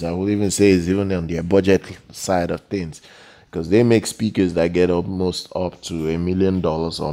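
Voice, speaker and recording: Deep-voiced man reviewing a product, mellow tone. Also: vocal fry. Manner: halting, repetitive, emphatic on key words. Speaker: product reviewer, channel host. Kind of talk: unscripted product review voice-over.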